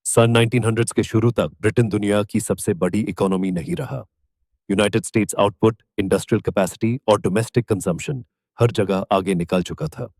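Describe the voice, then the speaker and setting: A man with an Indian accent narrates a documentary from a script. His voice sits on the low side with a dark, mellow tone. The delivery is measured and declarative, landing on short emphatic phrases, and the sound is close and dry. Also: creaky, no room sound.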